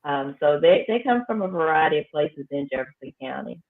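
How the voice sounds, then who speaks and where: A woman high school principal, talking firmly and emphatically in a casual interview. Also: animated, fairly quick. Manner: emphatic, firm, repeats phrases for effect. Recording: tinny phone-like line.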